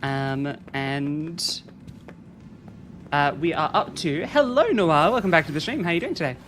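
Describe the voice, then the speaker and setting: A game streamer talking casually through a live stream, chatting with viewers and reading chat aloud in a soft, soothing way. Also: medium pitch, animated, measured pace, a little hiss or hum. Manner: chatty, reads and answers chat.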